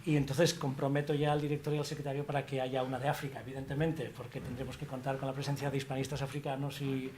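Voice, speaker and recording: A man's voice: medium pitch, level tone, fast talker, few pauses, darkish tone, a little hiss or hum. A middle-aged man, academic director of an institute, speaking on a panel, rambling with many restarts. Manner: rambling, many restarts and fillers, informal asides. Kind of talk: panel talk at a colloquium.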